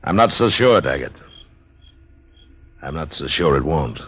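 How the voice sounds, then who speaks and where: An actor playing a steady, middle-aged American marshal of the Old West in a radio western, measured and dry. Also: male voice, rumbling low, over loud music. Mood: grim, wary. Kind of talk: 1950s western radio drama acting and narration.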